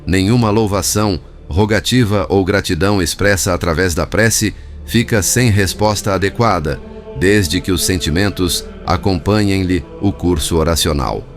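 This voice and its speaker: A Brazilian man reading a spiritist book as scripted narration, in a formal, measured manner. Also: sits low. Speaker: audiobook narrator. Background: background music.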